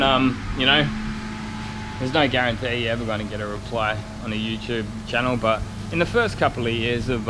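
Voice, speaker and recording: A man in his thirties giving a casual, rambling, blokey walkaround vlog as a car painter, recorded on a handheld camera in a large workshop. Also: mid-range voice. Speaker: car spray painter and YouTube vlogger. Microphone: action camera at arm's length. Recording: clear workshop noise, handheld action camera.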